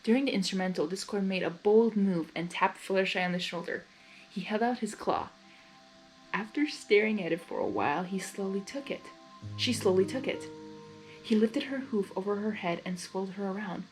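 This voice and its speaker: A woman narrates a story in an informal reading style, dropping in excitable, gushing asides as a host. Her voice sits at an everyday middle pitch. Music plays behind her. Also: moderate pace, poor audio. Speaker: host reading fan fiction aloud.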